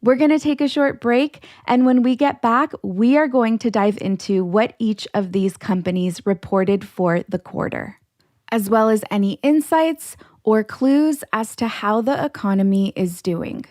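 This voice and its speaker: A woman's voice: fairly high pitch, a little slow, a little mellow, dry recording, studio quality. A woman hosting an educational podcast, explaining things step by step directly to her listeners. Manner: instructional, explains terms step by step. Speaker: podcast host, financial educator.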